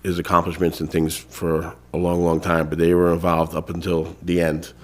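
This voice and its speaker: Congested deep-voiced man chairing a meeting. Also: completely flat delivery, normal speed. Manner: casual, filler-heavy, restarts phrases. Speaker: town supervisor chairing a board meeting. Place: town hall meeting room.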